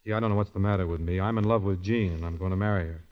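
An actor playing a flustered young stage performer torn in love, in 1940s radio drama style. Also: male voice, deep voice, steady tone, rapid-fire, natural voice. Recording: muffled vintage 1940s radio recording, music.

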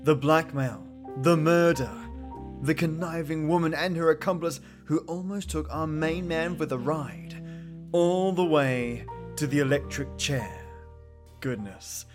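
Chummy male podcast host over guitar music. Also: on the high side. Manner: effusive, chummy address to listeners. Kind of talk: scripted podcast outro. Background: plucked guitar music.

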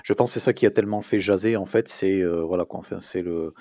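Low, mellow man, halting. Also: no room sound. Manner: hesitant, searching for words. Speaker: podcast co-host. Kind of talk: casual podcast chat.

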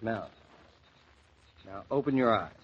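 An actor in a 1940s radio drama playing an affectionate young husband who talks in a dry, gently teasing way. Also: male voice, on the low side, animated, slow pace, breathy edge, full character voice. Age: young adult. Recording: muffled 1940s radio transcription.

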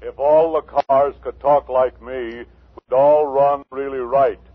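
A man voicing a talking car that recites a rhyming slogan slowly and rhythmically in a 1950s radio commercial. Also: mid-range voice, steady tone, lightly acted. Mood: peppy salesmanship. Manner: slow, rhythmic rhyming delivery. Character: talking car in an advertising jingle. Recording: tinny old radio recording.

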